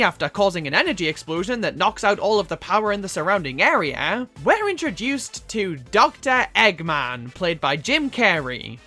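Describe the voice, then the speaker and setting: Animated, bright-voiced woman reviewer. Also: on the high side. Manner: theatrical, exasperated emphasis, swearing. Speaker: video essay host. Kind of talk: scripted movie review commentary.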